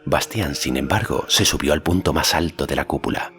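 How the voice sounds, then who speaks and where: A man reading literary fantasy narration as an audiobook narrator, in a measured, solemn storytelling manner. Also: rumbling low, fairly bright tone, soft background music.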